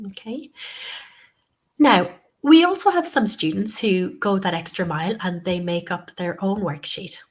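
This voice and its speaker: A woman's voice: medium pitch, unhurried. A woman tutor with a cold and a sniffly voice gives a webinar lesson. She talks patiently, repeats her key points and often says "okay" and "um". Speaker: TEFL course tutor. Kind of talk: webinar instruction.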